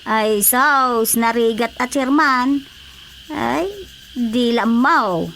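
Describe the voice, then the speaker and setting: A woman's voice: fairly high pitch, very slow, fairly bright tone, slight background noise, full character voice. A woman playing an anxious, gossipy village character in a comedy radio drama, fretting and exclaiming.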